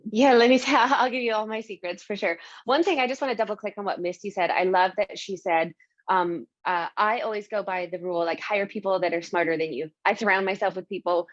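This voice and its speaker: A woman's voice: mid-range voice, steady tone, fairly quick, dry recording, dull audio. A woman from Canada, founder and CEO, speaking energetically on a panel. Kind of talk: panel discussion.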